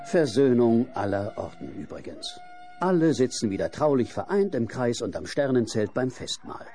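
A man's voice: medium pitch, sing-song, moderate pace, warm tone, soft background music. A man narrating a radio play in a wry storytelling manner.

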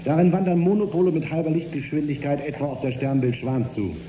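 An actor playing a calm, earnest spaceship scientist in a radio drama, measured and lecturing. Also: male voice, on the high side, highs cut off, natural voice. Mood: explanatory. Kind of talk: German radio drama acting. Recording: roomy studio with faint hum.

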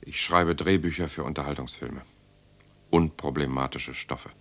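An actor playing a reflective, solitary European writer, narrating his life in a measured, lyrical radio drama style. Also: a bass voice, fairly bright tone, exaggerated voice. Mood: sober. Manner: measured, lyrical, contemplative delivery. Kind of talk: literary first-person radio drama narration.

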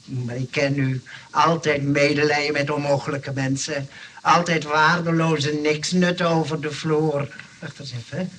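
A man's voice: a little high, flat delivery, unhurried, fairly bright tone. A man playing a grumpy, suspicious, blustering neighbour in a radio comedy. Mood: suspicious, scornful. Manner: huffy, accusatory, insistent. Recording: noisy old radio recording, distant.

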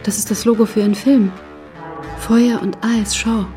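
A woman's voice: on the high side, fairly even intonation, moderate pace, dark tone, soft background music, natural voice. An actress playing an attentive, observant woman in a radio drama, speaking in clipped phrases. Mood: curious, focused. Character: attentive woman helping examine sketches and notes. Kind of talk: German radio drama dialogue.

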